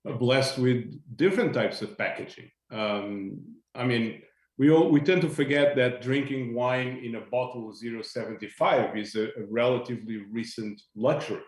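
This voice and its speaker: A man's voice: mid-range voice, normal speed. A man from Portugal, speaking as a panelist in a video-call discussion, thoughtful and searching for words with a light joking touch. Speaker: industry panelist. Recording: echoey room.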